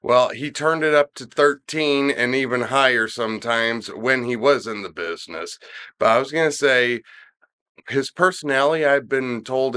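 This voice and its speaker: A man's voice: mid-range voice, bright, forceful tone, clear, resonant tone. A middle-aged man with a slightly nasal, congested voice, chatting casually as a podcast host in a rambling, opinionated, colloquial way. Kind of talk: casual wrestling chat.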